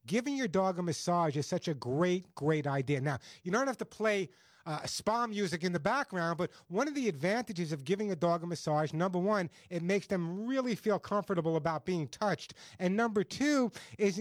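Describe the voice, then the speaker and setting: A man with a New York accent hosting a call-in radio show, chatty and quick to laugh, with stumbling restarts. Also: high-pitched, lively intonation, dry recording, clean studio sound.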